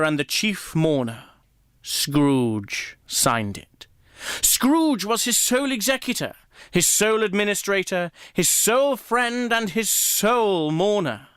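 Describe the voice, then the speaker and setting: A man narrating a classic tale for a radio play, measured, with dramatic pauses. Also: a little high, fairly bright tone, smooth, no room sound, clean studio sound.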